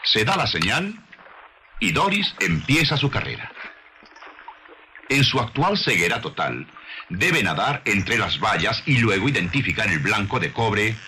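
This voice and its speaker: A man narrating a scripted science documentary in a measured, formal, didactic manner on an old soundtrack. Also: on the low side, very animated, noisy background.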